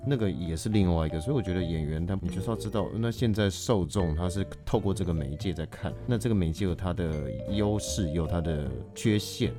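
A man's voice: sits low, a little fast, hardly any pauses, over music. A man with a Taiwanese Mandarin accent, an actor speaking as a podcast guest in a reflective, explanatory, conversational way. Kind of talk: conversational interview.

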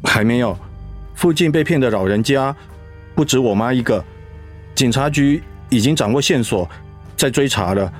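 A man voicing a dutiful middle-aged son and schoolteacher in a radio drama, speaking in a steady, subdued way. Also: mid-range voice, moderate pace, pauses often, faint music behind, close to an everyday voice. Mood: somber.

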